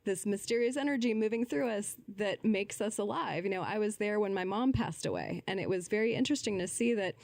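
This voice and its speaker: A woman's voice: mid-range voice, animated, a little fast, creaky, hardly any pauses, a little mellow, no echo. Female podcast guest, mid-range voice, earnest and fluent explainer, dry close sound. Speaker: podcast guest, holistic healer. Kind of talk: conversational interview answers.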